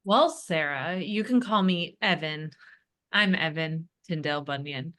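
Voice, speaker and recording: Slow, earnest, folksy churchgoer, played by a woman. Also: low-pitched, a bit bright. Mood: earnest, pleased. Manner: earnest, deliberate, spells things out. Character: earnest, folksy evangelical church board member and writer. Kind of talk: scripted comedy character at a table read.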